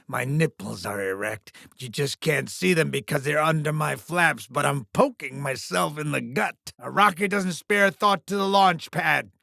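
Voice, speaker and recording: A man's voice: on the high side, creaky, pushed and bright, dry recording, clean studio sound, full character voice. A man voicing a gleefully crass, scheming alien showbiz mogul in a video game, with a bombastic, falsely chummy huckster's delivery. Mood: smug, mocking. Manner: bombastic, fast-talking huckster, foul-mouthed, falsely chummy. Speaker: arena show promoter.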